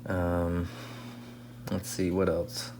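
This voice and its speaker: Low-voiced man thinking aloud, noisy recording. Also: completely flat delivery, extremely slow. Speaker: radio restoration hobbyist. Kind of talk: casual hands-on repair commentary. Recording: clear background noise.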